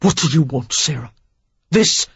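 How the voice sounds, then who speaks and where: A woman voicing a rough, intense man with a Cockney accent, speaking hoarsely and commandingly. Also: fairly low pitch, animated, a little slow, dry recording, lo-fi sound, heavily acted. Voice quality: husky, hoarse.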